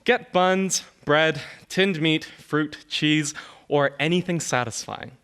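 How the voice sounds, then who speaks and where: A man's voice: on the high side, takes it slowly, fairly bright tone, smooth. Man dramatically reading an old letter. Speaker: reader of an archival letter.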